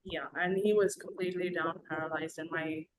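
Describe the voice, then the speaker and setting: A woman from Zambia, a podcast guest, telling her story in a rambling, conversational way with many pauses over a video call. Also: deep voice, steady tone, poor audio.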